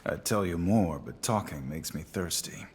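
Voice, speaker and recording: Deep-voiced, dry, brooding swordsman. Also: moderate pace, breathy edge. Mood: wry, aloof. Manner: dry, guarded, laconic. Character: brooding hard-drinking wandering swordsman, wry loner. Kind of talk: understated video game acting.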